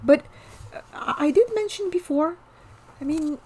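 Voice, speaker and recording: A woman's voice: high voice, a little slow, a little mellow. Woman giving an earnest talk. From Morocco. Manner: earnest and explanatory, checks in with the listener, frequent fillers and restarts. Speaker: host, cross-cultural trainer. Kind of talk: informal lecture to camera.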